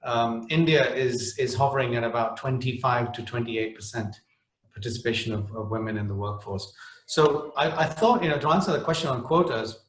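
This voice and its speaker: A man's voice: mid-range voice, moderate pace, echoey room, rough recording. A middle-aged man from the Netherlands speaking on a webinar panel, hesitant, with many restarts and fillers.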